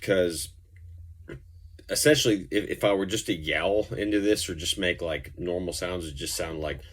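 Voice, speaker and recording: A man's voice: low-pitched, moderate pace. A man giving a casual, off-the-cuff effects pedal demonstration as a musician, talking in an informal, rambling way. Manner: informal, rambling, mildly profane.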